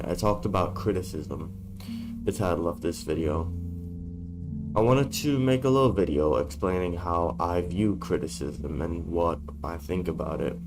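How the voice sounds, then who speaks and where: A man talking in a casual vlog from a dark room, blunt and swearing freely, his voice worn from being sick. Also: deep voice. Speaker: YouTuber.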